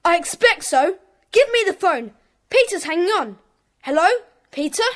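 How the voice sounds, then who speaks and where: A woman's voice: extremely high pitch, lively intonation, moderate pace. A woman playing an earnest schoolboy detective in a children's audio drama. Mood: urgent.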